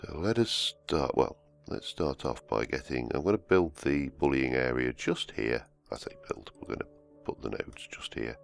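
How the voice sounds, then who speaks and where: A man, croaky from a cold, hosting casual gameplay commentary and rambling as he plays. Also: extremely low pitch. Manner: rambling, self-correcting, humming to himself. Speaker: let's-play host. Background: soft background music.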